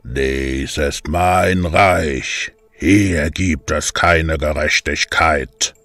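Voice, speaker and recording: A man voicing a menacing, imperious god of the underworld in an audio drama, speaking in drawn-out threats. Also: extremely low pitch, fairly even intonation, a bit bright, no room sound, voice pushed down, heavily acted. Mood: cold, commanding. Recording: music under the voice.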